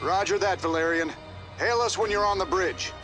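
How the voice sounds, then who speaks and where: An actor playing a gruff, wry middle-aged rebel soldier in a video game cutscene, talking in a dry, terse drawl. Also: male voice, sits high, moderate pace, music in the background, lightly acted. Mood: cool, confident.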